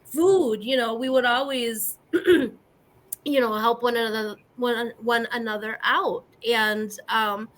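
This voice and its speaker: A woman from the US Midwest whose first language is Spanish, speaking as a guest on a video call panel in a reflective, earnest way with frequent pauses. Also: a little high, a bit bright. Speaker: guest panelist, community organizer. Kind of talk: casual panel chat.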